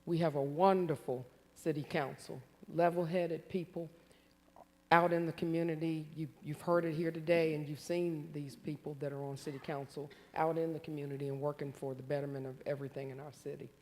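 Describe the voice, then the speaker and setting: A man's voice: fairly high pitch, little pitch movement, fairly quick, darkish tone, big-room sound. A man in his sixties from Virginia, giving an earnest campaign speech at a candidate forum, with some restarts and fillers. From Hampton, Virginia. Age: 60s. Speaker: city council candidate. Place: community forum hall.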